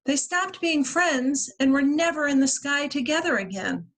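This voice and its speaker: A woman reading a children's storybook aloud as a librarian at story time, with expressive, deliberate phrasing. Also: sits high. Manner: expressive, deliberate phrasing with acted-out character lines.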